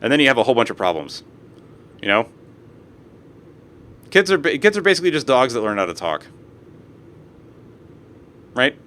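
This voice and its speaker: Man, young adult, live streamer, profane rants, reads posts aloud, very lively pitch. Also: moderate pace, long pauses.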